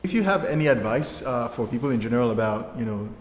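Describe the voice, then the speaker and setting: A man puts light, prompting questions to the interview guests. His mid-pitched voice rings in a reverberant room, and a faint hiss runs underneath. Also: animated, fast.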